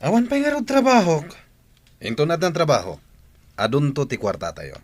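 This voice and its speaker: A man's voice: fairly high pitch, huge pitch swings, moderate pace. An actor with an Ilocano accent playing an evasive, jobless father in a radio drama. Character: jobless, evasive father fending off requests for money.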